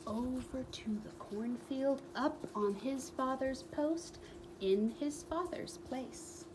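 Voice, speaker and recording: A woman reading a children's book aloud as a storyteller, expressively, with dramatic pauses and emphatic repetition. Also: high voice, noisy background.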